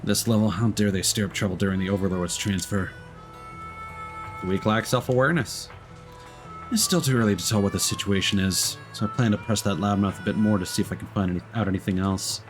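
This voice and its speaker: A man's voice: on the low side, a little fast. A male streamer casually commenting on a game and reading its text and dialogue aloud. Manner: relaxed, reads dialogue lines flatly and comments between them. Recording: game music behind the voice.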